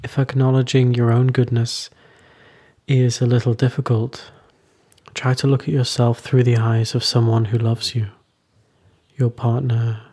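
Slow, soft-edged man guiding meditation. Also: medium pitch, level tone, slightly rough. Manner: soothing, unhurried, with long pauses between short phrases. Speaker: meditation teacher. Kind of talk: guided meditation.

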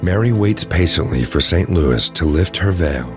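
A man narrates an audio drama from a script. His voice is deep and low, with music playing clearly behind him. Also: lively intonation, moderate pace.

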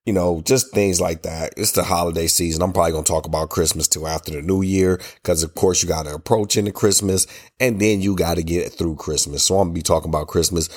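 A low-pitched American man talks solo on his podcast. His voice is congested and rough from a cold. He speaks in a loose, colloquial way, laying out opinions with emphatic repetition. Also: fairly even intonation, fast, darkish tone. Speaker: podcast host. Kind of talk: casual solo podcast talk, opinionated commentary.

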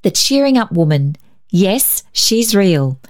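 A woman reading a story aloud as scripted narration, in an expressive, measured, dramatic way. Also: medium pitch. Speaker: story reader.

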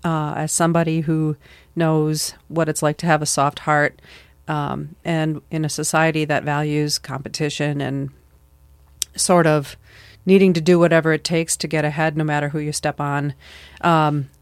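A woman's voice: low-pitched, level tone, moderate pace, clean tone, no room sound. Earnest, reflective middle-aged mother. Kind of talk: casual conversation.

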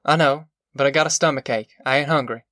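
A narrator voicing a reluctant, self-denying older country uncle with a rural Southern American accent and halting, muted speech. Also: medium pitch, a bit bright, no room sound, studio quality, lightly acted. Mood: reluctant, evasive.

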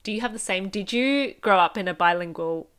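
Australian woman, 30s, smooth clear voice, chatty upbeat solo podcast host. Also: medium pitch, a little fast, a bit bright. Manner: chatty, upbeat, speaks straight to the listener. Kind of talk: casual solo podcast monologue.

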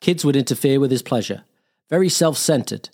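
Man playing wartime airman, terse diary reading, blunt, slangy, matter-of-fact, medium pitch. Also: lively intonation, moderate pace, darkish tone. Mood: wry, dismissive.

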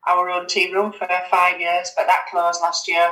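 A woman's voice: on the low side, monotone, normal speed, sharp tone, echoey room, low-quality recording. A woman, a guest on a podcast, chatting casually with lots of fillers and restarts. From West Yorkshire, England. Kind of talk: casual conversational interview.

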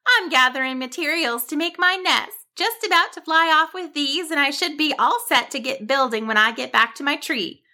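A small, friendly storybook bird, voiced by a woman. She chirps along agreeably in a raised, bright voice. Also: sits high, moderate pace, hardly any pauses, pitched above the performer's own voice, exaggerated voice. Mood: chirpy.

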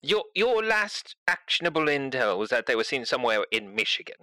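A man's voice: medium pitch, very animated, a little fast, pushed and bright, no room sound. A man voicing a fussy, polite robot butler with a pedantic, exasperated manner in a roleplaying audio drama. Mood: incredulous. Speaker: player in an actual-play audio drama.

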